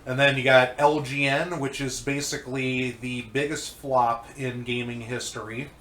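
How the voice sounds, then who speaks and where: A man's voice: medium pitch, flat delivery, moderate pace, sharp tone, a little hiss or hum. Congested man chatting, echoey room. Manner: rambling, many fillers and restarts. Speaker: co-host reviewing old magazines. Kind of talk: casual chat.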